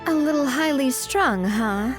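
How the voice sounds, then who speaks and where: A principled young woman from a video game. Her voice sits high and moves freely up and down. She speaks frankly and conversationally, with faint music underneath. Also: animated, unhurried. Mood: wry. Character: earnest, principled young woman mediating for workers.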